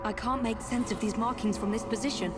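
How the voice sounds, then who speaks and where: An actress playing a determined, vengeful Victorian assassin investigator in a video game, intense and articulate, with an English accent. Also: female voice, fairly high pitch, steady tone, brisk, very noisy, lightly acted. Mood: grim, investigating. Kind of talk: dramatic video game acting.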